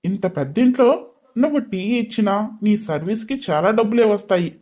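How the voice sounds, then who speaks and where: A cold, wealthy young husband from a storytelling drama, put on by a woman narrator. The voice is pitched down from the narrator's own. He drawls sarcastically and talks with open scorn. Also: expressive, normal speed, dark tone, lightly acted. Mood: contemptuous.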